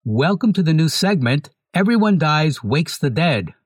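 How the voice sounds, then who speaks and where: A man hosting a podcast, reading a scripted introduction to a segment in a measured way. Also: fairly high pitch, very animated, no echo, clean studio sound. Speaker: podcast host.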